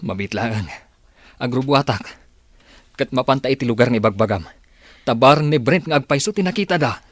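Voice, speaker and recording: A man's voice: medium pitch, lively intonation, moderate pace, no echo, clean studio sound, natural voice. A man playing a weary, doubtful husband. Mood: reluctant. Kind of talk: radio drama acting.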